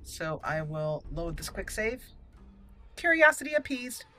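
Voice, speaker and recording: A woman hosting a casual let's play, chatty and expressive as she talks to the game characters. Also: mid-range voice, unhurried. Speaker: gaming channel host. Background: game music.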